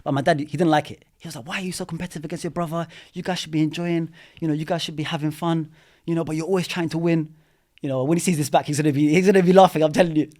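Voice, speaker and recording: A man, a podcast guest telling his story in a relaxed way, full of "you know" and restarts. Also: on the high side, very fast. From London. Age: 30s. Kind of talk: casual interview chat. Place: studio.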